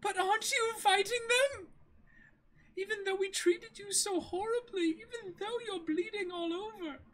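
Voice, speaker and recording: Guy doing a timid rich girl from a manga, high pleading voice, real earnest. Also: normal speed, a bit bright, low-quality recording. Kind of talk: amateur dramatic manga reading.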